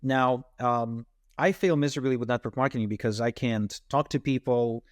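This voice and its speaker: A man's voice: mid-range voice, normal speed, no echo, studio quality. A man from Ukraine and Israel, a native Russian and Ukrainian speaker, telling his story conversationally as an interview guest. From Ukraine, and Israel from age twelve. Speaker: podcast guest, entrepreneur.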